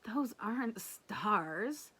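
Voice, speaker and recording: A woman's voice: mid-range voice, expressive, drawn-out words, pushed and bright, husky, heavily acted. A woman voicing a matter-of-fact, dismissive young brother in a storybook, correcting with emphasis.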